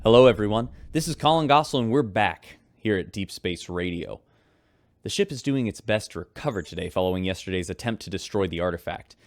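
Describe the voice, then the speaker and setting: A man acting as a folksy male newscaster on a starship radio station in a sci-fi audio drama. His voice sits on the low side and is recorded close and dry. He reports in scripted news style with a wry, informal touch. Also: animated, fairly quick, dry recording. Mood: grave, sincere. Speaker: radio host and live reporter.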